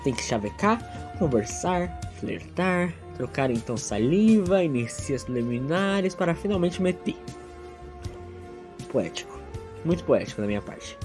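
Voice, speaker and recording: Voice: mid-range voice, sing-song, unhurried, music in the background. A narrator telling a scripted story in a theatrical, self-mocking way with playful asides.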